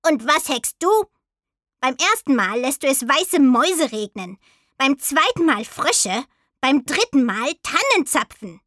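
Woman voicing a grumpy, high-pitched, croaky raven. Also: big pitch swings, measured pace, fairly bright tone, no room sound, studio quality, exaggerated voice. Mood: reproachful. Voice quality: croaky, screechy. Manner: reproachful, sarcastic. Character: grumpy, scolding male talking raven, sarcastic. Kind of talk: children's storybook reading.

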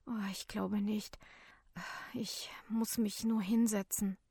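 A woman voicing a weak, dazed young man in an audiobook story, with faint, breathy delivery. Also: a little high, steady tone, normal speed, dry recording, studio quality, pitched above the performer's own voice, lightly acted.

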